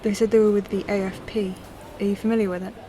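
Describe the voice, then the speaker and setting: A woman playing a courteous, curious old-model android in a sci-fi audio drama, speaking in a measured, polite way. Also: medium pitch, flat delivery, mellow, a little hiss or hum, lightly acted. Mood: friendly, curious.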